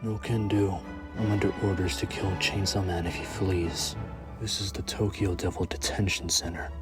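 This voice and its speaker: A man's voice: sits low, flat delivery, normal speed, over loud music, close to an everyday voice. A man voicing a cool, detached young government devil hunter in an anime fan dub. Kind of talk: anime fandub acting.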